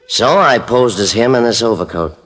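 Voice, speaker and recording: An actor playing a cold, gloating gangster who taunts and menaces, in 1950s radio drama style. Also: male voice, mid-range voice, lively intonation, normal speed, a bit bright. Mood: smug. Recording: old radio recording with music.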